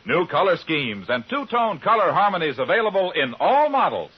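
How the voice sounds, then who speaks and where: High, animated, husky male ad announcer. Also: moderate pace. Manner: enthusiastic sales pitch. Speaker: commercial announcer. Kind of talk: 1950s radio car advertisement. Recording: muffled old radio broadcast.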